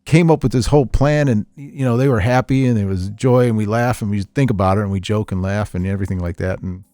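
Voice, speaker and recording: A middle-aged man chatting casually on a podcast, telling stories in a rambling, animated way with repeats and chuckles. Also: on the low side, quick pace, no echo. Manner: animated storyteller, chuckles often, repeats himself. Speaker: podcast host, veteran tabletop gamer.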